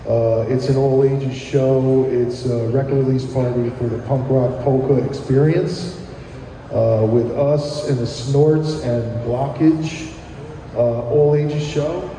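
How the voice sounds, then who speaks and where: Middle-aged man with a New Jersey accent, slow halting talk, dark tone, roomy far-off sound. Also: mid-range voice, steady tone, slight background noise. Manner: hesitant, lots of ums, then sings with guitar. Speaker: punk musician guest. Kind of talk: casual radio interview and live acoustic singing. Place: live radio broadcast in a shopping plaza. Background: acoustic guitar.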